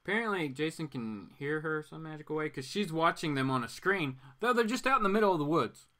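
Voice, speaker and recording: A man with a bright, fairly high voice hosts a casual story reading. His pitch moves around a lot as he narrates and drops in slangy, wry asides. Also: animated, a little fast. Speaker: host reading comic books aloud. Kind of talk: casual dramatic reading with commentary.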